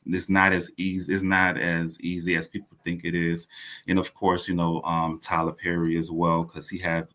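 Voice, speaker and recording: Very deep, even-toned man being interviewed. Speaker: guest, playwright and producer. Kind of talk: thoughtful interview answer.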